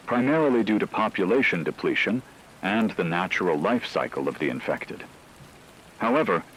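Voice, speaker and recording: A man playing a grave radio anchor, delivering a measured, analytical scripted emergency broadcast. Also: low voice, slight background noise, rough recording. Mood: grave, factual. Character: grave male radio anchor reporting an apocalypse.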